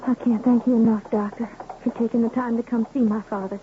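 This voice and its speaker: An actress as an earnest, high-voiced ranch girl. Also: steady tone, fairly quick, close to an everyday voice. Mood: grateful, earnest. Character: earnest, dutiful young rancher's daughter, resigned in love. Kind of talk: 1970s radio drama acting. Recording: thin phone-like old radio, faint noise.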